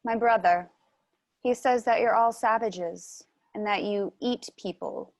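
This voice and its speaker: A woman's voice: medium pitch, unhurried, lightly acted. Woman playing curious, naive young noblewoman. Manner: innocent, inquisitive. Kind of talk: film script table read.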